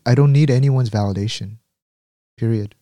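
A man's voice: mid-range voice, normal speed, warm tone, dry recording. A man who grew up in the United States, speaking as an interview guest and telling his story frankly, often restarting his sentences. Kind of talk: candid conversational storytelling.

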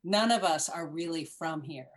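Emphatic woman telling a story, fairly low. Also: normal speed. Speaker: guest. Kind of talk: casual conversation.

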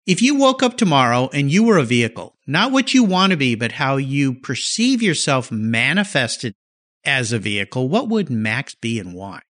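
A man's voice: medium pitch, sing-song, moderate pace, fairly bright tone. A man hosting an interview, enthusiastic and upbeat. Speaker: podcast host. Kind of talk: interview host chat.

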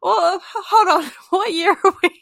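A woman's voice: very high voice, moderate pace, gravelly, fairly bright tone, no echo, clean studio sound. A woman in her late twenties with a New Zealand accent, co-hosting a casual parenting podcast and chatting with friends, laughing at herself often. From Australia. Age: 20s. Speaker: podcast co-host. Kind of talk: casual chat.

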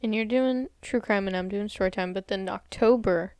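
A woman's voice: medium pitch, normal speed, a little mellow, clean tone, no echo. Woman, casual podcast co-host; chatty, giggly, dry close recording. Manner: chatty, laughs often, stumbles on place names.

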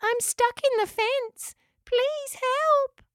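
Squeaky little echidna girl. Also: very slow, fairly bright tone, no room sound, clean studio sound, full character voice. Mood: distressed, pleading. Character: small shy female echidna, polite and grateful.

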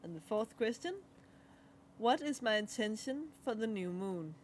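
A young adult woman whose first language is Danish, co-hosting a podcast and giving a relaxed card reading in a halting way, with many fillers and pauses. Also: a little high, slight background noise. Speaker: podcast co-host. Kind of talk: casual chat, card reading.

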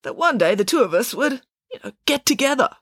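A woman voicing an awkward, sheepish schoolboy with a hesitant, halting manner in a storybook reading. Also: fairly high pitch, very animated, fairly bright tone, husky, no room sound, studio quality, voice pushed up, full character voice. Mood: shyly hopeful. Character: awkward, sheepish schoolboy, hopeful and easily deflated.

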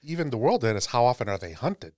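A man's voice: mid-range voice, very animated, quick pace, darkish tone, no room sound, studio quality. A man co-hosting a podcast, talking in a casual, conversational way. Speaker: podcast co-host. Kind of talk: casual tabletop gaming discussion.

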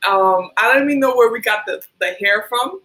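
A woman's voice: on the high side, fairly quick, pushed and bright, a little hiss or hum, rough recording. A woman who grew up in Liberia, a hair stylist as guest on a podcast, talking casually with many ums and likes. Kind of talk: casual interview.

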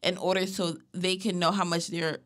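A woman co-hosting a podcast in casual chat, speaking in a natural monotone, blunt and profane as she lays out a story at length. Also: lowish voice, moderate pace. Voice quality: self-described natural monotone. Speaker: podcast co-host.

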